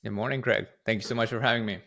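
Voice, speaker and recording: Lowish-voiced man from Guatemala, rambling. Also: fairly even intonation, rapid-fire, gravelly, a bit bright. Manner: rambling, many fillers and restarts. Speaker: company CEO, podcast guest. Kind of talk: casual interview answers.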